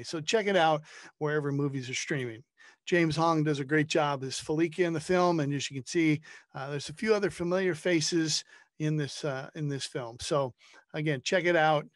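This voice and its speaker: A man's voice: on the high side, no room sound. A man hosting a faith movie show, signing off from his studio in an upbeat, promotional way. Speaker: podcast host, pastor. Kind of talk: show sign-off. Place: home studio.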